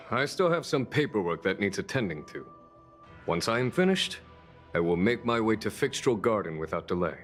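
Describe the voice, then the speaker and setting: Calm, low-voiced, wry army general. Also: male voice, faint music behind. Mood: calm resolve. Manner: measured, formal, unhurried. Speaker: video game character voice. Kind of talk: game dialogue acting.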